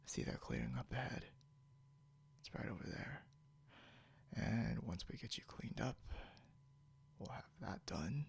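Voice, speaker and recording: Man acting a very gravelly, possessive captor, low-voiced. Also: exaggerated voice. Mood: wry, caring. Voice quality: soft, breathy, often near whisper. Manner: smug, teasing, slow and deliberate. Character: possessive, snarky non-human male captor, condescending yet caretaking. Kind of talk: intimate ASMR roleplay.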